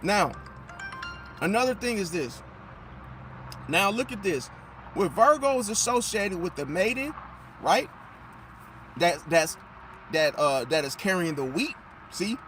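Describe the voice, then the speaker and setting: Hoarse, high-voiced man preaching emphatically. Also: big pitch swings, unhurried, pauses often, fairly bright tone, soft background music. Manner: preachy, emphatic, repeats phrases and asks rhetorical questions. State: slightly hoarse, losing his voice. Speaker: astrology commentator. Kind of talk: casual talking monologue to viewers.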